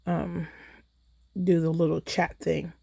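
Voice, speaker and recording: An American woman chatting casually in a relaxed, rambling way as she hosts a crafting livestream, recorded on a phone in a holder. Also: sits low, takes it slowly, gravelly, noisy background. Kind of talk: casual chat while crafting.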